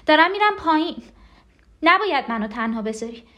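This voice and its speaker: An actress playing a frightened, tender and clinging twin sister in an emotional audio drama monologue. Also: female voice, sits high, very animated, pushed and bright, full character voice. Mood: panicked, desperate. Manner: intimate, emotional, pleading.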